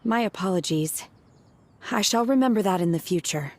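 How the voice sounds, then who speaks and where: Detached blunt young woman, voiced by a woman; calm deadpan reads. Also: medium pitch, normal speed, lightly acted. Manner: deadpan, flat, matter-of-fact.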